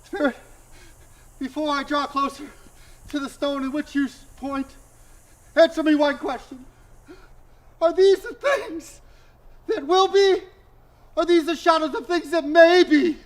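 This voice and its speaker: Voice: sits high, level tone, moderate pace, long pauses, bright, forceful tone. A performer playing an old miser who begs a spirit for mercy and then rejoices, in a theatrical stage style. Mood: pleading. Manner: theatrical, pleading then exclaiming. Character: old miser, repentant and overjoyed. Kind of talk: stage acting of a classic tale.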